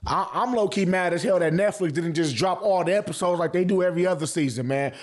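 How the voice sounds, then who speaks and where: High-pitched man, slangy and emphatic. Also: fast. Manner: slangy, emphatic, swears often, repeats phrases for emphasis. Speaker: anime reviewer and channel host. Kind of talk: casual opinionated vlog commentary.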